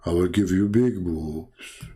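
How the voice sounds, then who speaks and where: A man voicing a mock god who offers gifts in a slow, drawn-out, boastful way. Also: deep voice, dark tone, slightly rough, pitched below the performer's own voice, exaggerated voice. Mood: mock-grand, coaxing.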